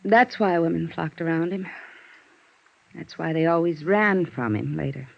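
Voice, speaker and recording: A dull vintage radio recording carries a bitter, guarded widow. Her voice has a smoky creak, and she confides in a weary, wistful, halting way, in the manner of 1950s radio noir. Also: low voice. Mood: wistful, numb. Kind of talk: 1950s radio drama acting.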